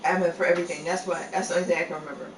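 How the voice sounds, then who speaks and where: A man hosting a live game stream, chatting casually and laughing a lot in a laid-back way, sentences trailing off. He has a deep voice. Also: level tone, rapid-fire. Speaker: livestream host. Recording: echoey room, far from mic, noisy.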